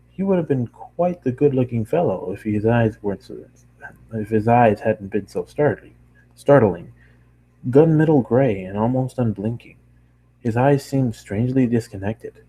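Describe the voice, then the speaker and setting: Husky, mellow man reading a story aloud. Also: mid-range voice, poor audio. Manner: stumbles and corrects himself, apologizing. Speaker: amateur fan fiction reader. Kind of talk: storybook narration read aloud.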